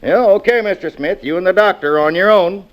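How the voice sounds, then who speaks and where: A man's voice: sits high, brisk, close to an everyday voice. A man playing a skeptical, matter-of-fact American real estate agent in a 1940s radio drama. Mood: brisk, dismissive.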